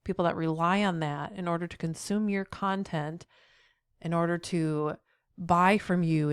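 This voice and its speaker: A woman's voice: lowish voice, smooth, no echo, studio quality. A middle-aged woman with a head cold and a slightly nasal voice, teaching a tutorial and encouraging the viewer directly. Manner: encouraging, speaks straight to the viewer with frequent "right?". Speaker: accessibility trainer. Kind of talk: tutorial video.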